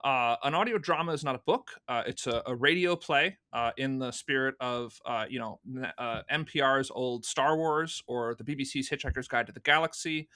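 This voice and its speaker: A man's voice: medium pitch, normal speed, few pauses, bright, forceful tone, smooth. A man speaking casually and conversationally as a guest answering questions in an interview. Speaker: podcast guest, fan audio drama producer.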